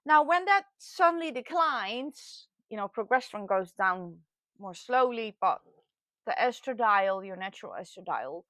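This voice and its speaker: A woman's voice: mid-range voice, expressive, measured pace, fairly bright tone, no echo. Dry-recorded Dutch woman in her late forties. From Netherlands. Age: late 40s. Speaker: vlogger sharing personal experience. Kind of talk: casual personal talk to camera.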